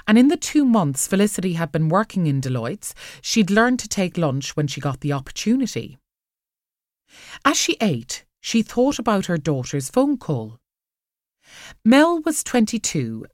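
A woman reading fiction aloud as an audiobook narrator, expressive and measured in her storytelling. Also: fairly low pitch, dry recording, clean studio sound.

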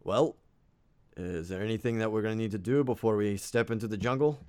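A man voicing an adult ship captain in a tabletop game, his voice slightly raspy. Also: fairly low pitch, a little fast, a little mellow, close to an everyday voice. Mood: practical.

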